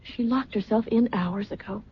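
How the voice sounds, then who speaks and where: An actress playing a caring, distressed young daughter in an old-time radio drama, anxious, earnest, with a husky, breathy voice. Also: female voice, medium pitch, normal speed. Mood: worried. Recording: dull old radio recording.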